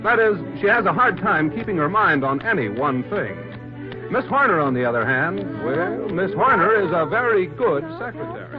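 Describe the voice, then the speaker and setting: A man with a fairly high, very animated voice narrates as an old-time 1940s radio announcer. The recording is muffled and vintage, with music clearly behind him. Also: normal speed.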